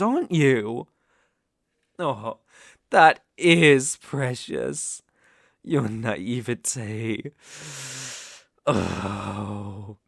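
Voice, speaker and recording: Slow, sinister British male werewolf, acted by a man. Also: mid-range voice, gravelly, dry recording, studio quality. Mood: condescending, delighted. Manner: theatrical, slow and teasing, drops into whispers. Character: sinister, smooth-talking male werewolf luring a stranger. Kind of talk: ASMR roleplay audio acting.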